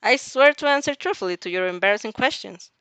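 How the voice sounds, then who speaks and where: A woman voicing a polite, guarded, quietly stubborn young girl in an audio fan story, speaking in a measured way. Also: mid-range voice, lively intonation, smooth, no room sound. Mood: composed, guarded.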